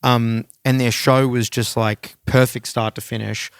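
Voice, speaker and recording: A man's voice: mid-range voice, little pitch movement, a little slow, clean tone, no echo, clean studio sound. A man co-hosting a music podcast, chatting casually with informal, sweary enthusiasm.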